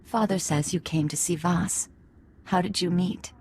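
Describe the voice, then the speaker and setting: A young alien daughter in a video game. She speaks formally in a lowish, husky voice. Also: steady tone, unhurried, a little mellow. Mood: earnest, curious. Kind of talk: video game cutscene acting.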